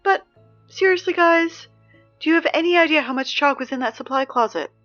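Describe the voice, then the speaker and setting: A woman's voice: high-pitched, brisk, fairly bright tone, muffled recording, soft background music. An actress playing a teenage girl who reads school announcements over the speakers, dry and exasperated. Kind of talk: audio drama reading school announcements.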